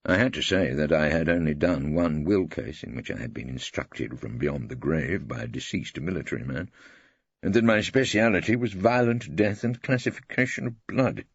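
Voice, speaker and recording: A man playing a witty, weary old English barrister who narrates in a wry, pompous, husky voice. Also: very deep voice, moderate pace, no echo, dull audio, full character voice. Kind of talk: scripted first-person audiobook narration.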